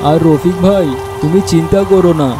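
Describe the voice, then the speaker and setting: A man playing a kindly, pious village farmer and neighbour in an animated moral cartoon, speaking earnestly and consolingly. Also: high-pitched, moderate pace, loud music behind the voice, close to an everyday voice. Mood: reassuring. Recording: loud rain noise behind.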